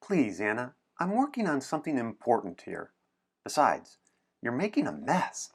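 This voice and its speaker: A man acts out a serious little boy from a storybook. He speaks in precise, formal words and sounds fussy and easily exasperated. His pitch moves about expressively. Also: wildly swooping pitch, normal speed, slightly rough, heavily acted. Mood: fussy, annoyed.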